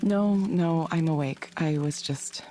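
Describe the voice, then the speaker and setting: Under loud music, a young woman speaks in a low voice. She is a quiet, introspective visual novel student who muses in an understated, subdued manner. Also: slow pace. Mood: pensive. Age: young adult. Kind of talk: naturalistic visual novel voice acting. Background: loud background music.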